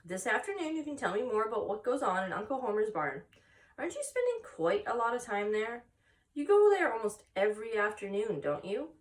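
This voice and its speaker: Woman playing a concerned farm mother, proper, questioning, lively intonation, children's storybook. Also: on the high side, a little fast, far from the mic, voice pushed up. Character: worried, proper farm mother, gently doubting. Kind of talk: children's storybook read aloud.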